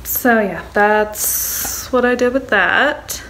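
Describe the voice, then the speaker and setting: Young american lady vlogging, slow casual talk, kinda high voice, noisy phone audio. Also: fairly bright tone. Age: young adult. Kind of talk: casual vlog chat to camera. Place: home kitchen, later inside a moving car. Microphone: phone at arm's length.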